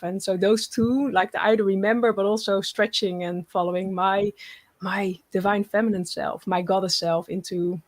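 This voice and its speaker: A woman's voice: mid-range voice, no room sound. Lady guest, earnest, keeps restarting, dry no-room sound. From Netherlands. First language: Dutch. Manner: earnest, halting with frequent restarts. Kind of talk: casual interview chat.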